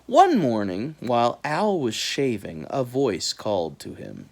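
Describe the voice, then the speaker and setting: A woman reading a children's book aloud in an animated, dramatic storytelling style. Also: extremely low pitch, unhurried, gravelly. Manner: dramatic, expressive delivery.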